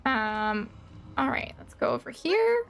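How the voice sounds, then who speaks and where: A woman's voice: high voice, big pitch swings, takes it slowly, gravelly. A woman streaming a game, reacting to it in casual chat.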